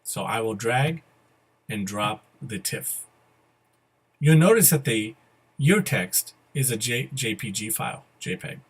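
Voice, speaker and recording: Slightly husky man giving a tutorial. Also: medium pitch, animated, slow pace. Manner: methodical, spelling out each step. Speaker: software tutorial presenter. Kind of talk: casual step-by-step screencast instruction. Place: voice-over to a computer screen recording.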